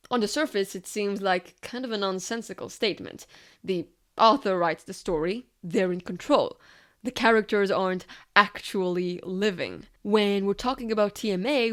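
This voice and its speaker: A woman delivering scripted video essay narration in a reflective manner, often posing rhetorical questions. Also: mid-range voice.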